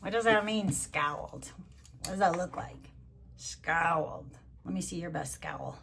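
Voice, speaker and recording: A middle-aged or older woman doing a storybook read-along, chatting to child listeners and gently asking them questions. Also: on the low side, a little slow, creaky, a little hiss or hum. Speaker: grandmother reading to children.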